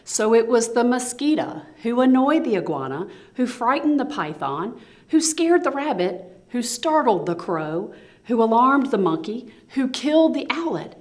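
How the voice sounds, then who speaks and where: A woman's voice: fairly high pitch, big pitch swings, unhurried, voice pushed up. A woman voicing a male lion king in a storybook, an authoritative judge declaiming to his council in a sing-song way.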